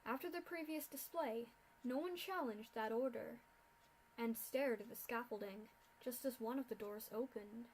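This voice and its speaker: A young woman narrating a fan-fiction story aloud in a steady reading voice. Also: fairly high pitch, normal speed, slight background noise, poor audio. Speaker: fanfiction reader.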